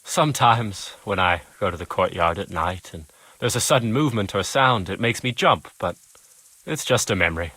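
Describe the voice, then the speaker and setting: Casting: modest stable boy for a game, sharp-edged rough voice, dry close sound. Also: on the low side, expressive, moderate pace, no room sound, exaggerated voice. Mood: relieved, reflective. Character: humble grateful young stable lad, a bit jittery. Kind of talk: video game cutscene acting.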